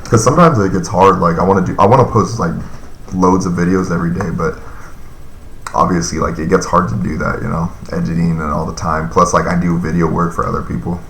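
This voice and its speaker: A man's voice: deep voice, steady tone, a little fast, vocal fry, a bit bright, roomy sound, a little hiss or hum. A man in his thirties chatting casually on a live stream, reading and answering viewer comments in a loose, rambling way with lots of fillers. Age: 30s.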